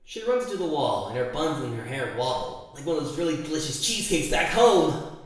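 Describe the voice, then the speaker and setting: A man's voice: fairly high pitch, very animated, a little fast, slight background noise. A man playing a blunt, grumbling young game contestant, narrating in character in a fan audio drama with informal complaints. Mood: wry, distracted. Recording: very echoey room, faint music.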